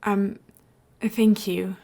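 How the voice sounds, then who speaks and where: A woman's voice: mid-range voice, level tone, drawn-out words, vocal fry, mellow, exaggerated voice. A woman reading the part of a wary, overwhelmed young man in a story, hesitant and informal.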